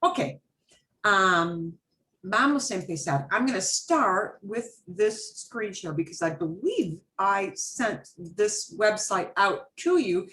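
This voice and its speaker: A woman's voice: medium pitch, expressive, slow, a bit bright, a little hiss or hum. A woman teaching a beginner Spanish class over a video call, chatty and patient, mixing Spanish phrases into her English explanations.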